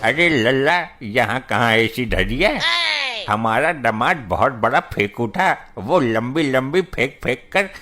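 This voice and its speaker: A man's voice: mid-range voice, lively intonation, slow pace, pushed and bright, faint music behind. A man voicing a crafty, complaining older mother-in-law in a comic cartoon. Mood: alarmed, complaining. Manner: wily, complaining. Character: crafty suspicious mother-in-law, an ordinary older village woman.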